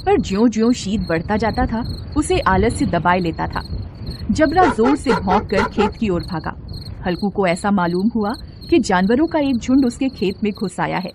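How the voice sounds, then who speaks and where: A woman storyteller reads literary narration in a mid-pitched voice. The delivery is expressive and scripted, with clear cricket-like noise running behind it. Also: moderate pace. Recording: steady insect-like background noise.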